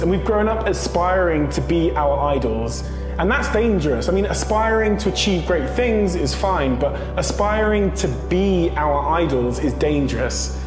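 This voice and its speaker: Young man giving an echoey motivational speech. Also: a little high. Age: young adult. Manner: earnest, rhetorical, measured delivery. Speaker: motivational speaker. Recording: echoey reverberant room with music.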